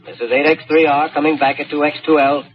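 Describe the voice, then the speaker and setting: A man's voice: a little high, little pitch movement, quick pace, slight background noise. An actor playing a sober, lone male radio operator in a 1930s radio drama, speaking formally through a radio filter. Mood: clipped, formal. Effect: two-way radio filter. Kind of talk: 1930s radio drama acting, radio callsign procedure. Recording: tinny, band-limited 1930s radio recording.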